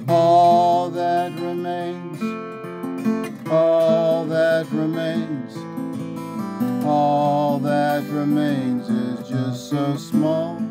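A woman singing a slow ballad. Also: little pitch movement, loud music behind the voice. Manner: slow, low sung melody.

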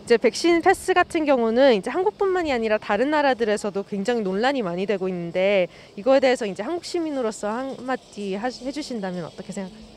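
A woman's voice: a little high, expressive, fast. Female street interviewer, polite and formal, faint traffic. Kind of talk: asking interview questions. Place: city street outdoors.

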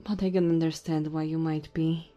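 A woman voicing a tipsy, flirty goblin innkeeper in an intimate ASMR roleplay, soft and teasing with hesitant pauses. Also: deep voice, flat delivery, dull audio, soft background music, close to an everyday voice. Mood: apologetic, sheepish.

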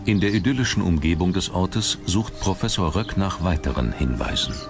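A man reading scripted documentary narration with measured, dramatic phrasing. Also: low voice, lively intonation, over music.

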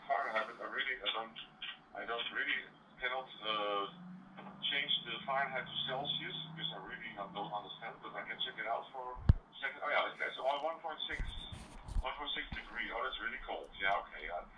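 A man with a Dutch accent, speaking halting English in a casual ham radio chat, heard through a handheld radio speaker. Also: mid-range voice. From Netherlands. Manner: halting, searching for words. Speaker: amateur radio operator. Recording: distant, dull radio transmission, faint noise.

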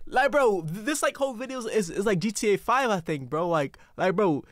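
A young man from the US East Coast reacting to a music video on his home stream, excitable and rambling, saying "bro" after nearly every phrase. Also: high voice, brisk. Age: young adult. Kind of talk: casual reaction commentary. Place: home streaming room, indoors. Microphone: headset with desk mic close up. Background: faint song playing.

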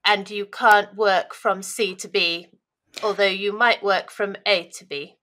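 Host in her thirties, bright forceful voice, brief prompts, headset on a video call. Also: mid-range voice, steady tone, unhurried. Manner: short prompts and brief acknowledgements. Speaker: interview host. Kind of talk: casual interview over video call. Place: small room indoors.

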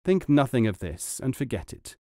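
A man's voice: medium pitch, big pitch swings, normal speed, warm tone, no echo, studio quality, lightly acted. A man voicing a sly young noble student in a storybook, speaking with earnest, pious politeness. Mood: kindly, pious. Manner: earnest, pleading, formally polite. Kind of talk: storybook character reading.

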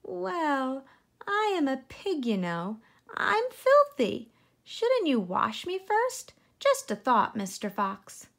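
A woman's voice: sits high, wildly swooping pitch, slow pace, vocal fry, a bit bright, pitched above the performer's own voice, exaggerated voice. A woman voicing a small, sly male piglet in a children's storybook, sighing and coaxing with mock innocence. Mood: wheedling. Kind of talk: children's storybook read-aloud.

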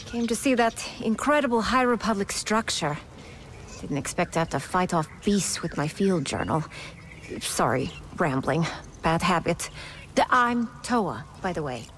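A woman's voice: on the low side, sing-song, measured pace, fairly bright tone, slight background noise. A woman voicing a nervous, friendly field scholar in a video game, rambling and apologetic. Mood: relieved, sheepish.

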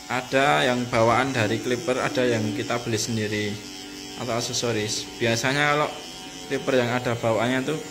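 A man's voice: medium pitch, fairly even intonation, moderate pace. A young man, casually narrating a barbershop tutorial and chatting with viewers. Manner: chatty, addresses viewers as friends. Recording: music playing behind the voice.

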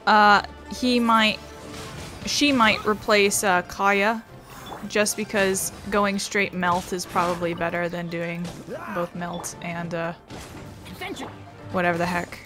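A woman's voice: lowish voice, level tone, takes it slowly. A woman giving casual, rambling, wry commentary as she plays a video game. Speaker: gaming streamer. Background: game music.

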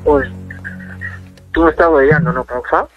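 A man's voice: a little high, moderate pace, faint music behind. A young man with a Peruvian accent on a phone call, bluntly questioning the caller in street slang. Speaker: prank call target.

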